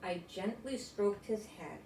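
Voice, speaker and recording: An older woman from the United States giving an expressive read-aloud of a children's story. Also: mid-range voice, slow pace. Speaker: storybook reader. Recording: roomy, distant mic, faint noise.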